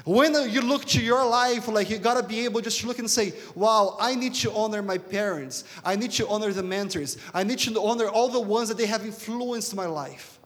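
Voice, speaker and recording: A man's voice: high voice, normal speed, few pauses. A man from Brazil with a Brazilian accent and Portuguese as his first language, preaching a sermon in an emphatic, repetitive way with rhetorical questions. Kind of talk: sermon to a congregation. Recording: reverberant hall sound.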